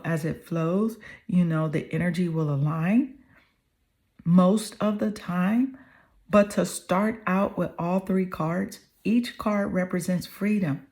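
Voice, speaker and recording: A slightly hoarse woman giving an earnest, affirming tarot reading to the viewer, often checking in with "okay?" and "you know". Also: on the low side, darkish tone. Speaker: tarot reader.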